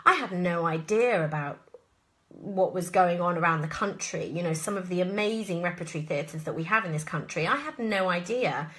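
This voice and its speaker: A woman in her thirties from southwest England with an English accent, an actress chatting in an expressive, rambling way as she answers questions live. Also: on the low side, moderate pace. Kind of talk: casual live Q&A chat.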